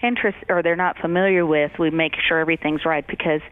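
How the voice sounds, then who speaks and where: Smooth-voiced woman on a tinny radio line. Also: low-pitched, fairly even intonation, quick pace. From Georgia, US. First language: German. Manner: chatty, many fillers and restarts. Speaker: travel agency owner, guest. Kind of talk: radio interview, casual.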